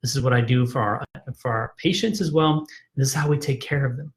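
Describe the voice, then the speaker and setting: A man's voice: medium pitch, fairly quick. A man, a chiropractor, giving an emphatic, persuasive health talk in a live video, frustrated and repeating himself with frequent "right?" tags.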